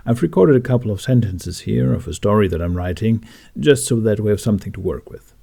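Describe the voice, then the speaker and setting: Guy doing a tutorial voiceover, kinda mellow and low, talks in careful phrases. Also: animated, brisk, vocal fry, slightly rough. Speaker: tutorial host. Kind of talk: instructional narration for a how-to video.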